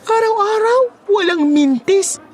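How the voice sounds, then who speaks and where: A woman's voice: very high-pitched, lively intonation, slow pace, darkish tone, exaggerated voice. A woman playing a chatty married boss lady in an audio horror story, coaxing and teasing insistently like a matchmaker.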